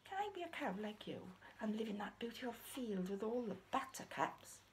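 A woman's voice: fairly high pitch, sing-song, moderate pace, slight background noise. A woman voicing a restless young female squirrel in a puppet story, earnest and pleading.

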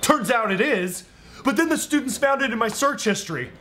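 A man's voice: very high voice, animated, brisk, bright, forceful tone, pitched above the performer's own voice, heavily acted. A man in his thirties playing a miserable, indignant schoolteacher venting in a comedy monologue, exasperated and aggrieved. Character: miserable, indignant male seventh-grade teacher, humiliated by students. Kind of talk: sketch comedy character monologue. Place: living room indoors.

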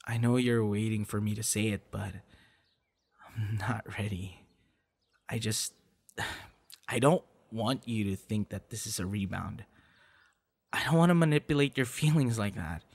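A man plays a tender young man courting someone close in an intimate audio roleplay. His voice sits a little low, and he talks very slowly. The delivery is soft and hesitant, like a boyfriend ASMR recording. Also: creaky. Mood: hesitant, apologetic. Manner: soft, hesitant, with sighs and chuckles.

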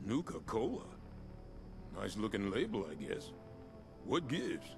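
An actor playing a guarded, grudging settler leader in video game dialogue, wary and sardonic. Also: male voice, lowish voice, huge pitch swings, measured pace, creaky, exaggerated voice. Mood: wry, grudging. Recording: game dialogue with music behind.

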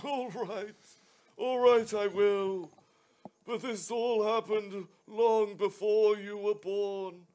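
A man's voice: steady tone, slow, dark tone. A man voicing a jolly, pompous old Father Christmas in a live radio play reading. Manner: booming theatrical delivery with ho ho ho. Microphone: headset.

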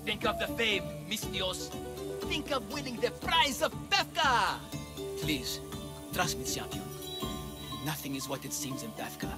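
An excitable game huckster who talks in high, swooping bursts, all theatrical sales patter. His voice sits far above the usual male register and leaps around constantly. Game music plays behind him. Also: measured pace. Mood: pushy. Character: excitable male showman running monster trials. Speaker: game character. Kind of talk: video game voice acting.

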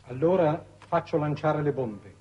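Colonnello severo, voce velata. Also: male voice, on the high side, lively intonation, a little slow, a little mellow, husky, dull audio. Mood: cold, pressing. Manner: formal, commanding, pressing. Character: stern, relentless military colonel, coldly dutiful. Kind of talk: 1960s Italian television drama acting.

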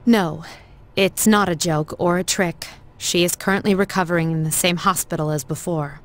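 Woman doing a serious student council girl from a video game, kinda low voice, very proper and measured. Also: steady tone, lightly acted. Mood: grave, formal. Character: composed, formal young female student council leader. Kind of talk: scripted game voice acting.

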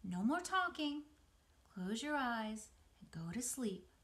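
A woman's voice: on the high side, big pitch swings, slow pace, a bit bright, pitched above the performer's own voice, heavily acted. A woman voicing a wise female spider from a storybook, comforting and motherly, giving firm, reassuring instruction.

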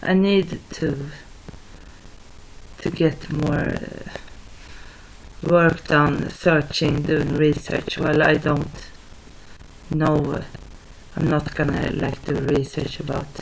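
A woman with a slow, flat, gravelly voice. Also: low-pitched, slight background noise, low-quality recording. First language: Swedish. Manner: hesitant, halting, many fillers and restarts. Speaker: vlogger. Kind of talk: casual talk to camera. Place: small living room at home, indoors. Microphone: webcam at desk distance.

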